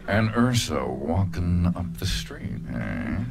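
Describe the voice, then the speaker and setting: A man's voice: deep voice, very animated, slow, creaky, noisy background, pitched below the performer's own voice, heavily acted. A man voicing a fawning, scheming young male pony sidekick in a live fan reading. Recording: show music playing underneath.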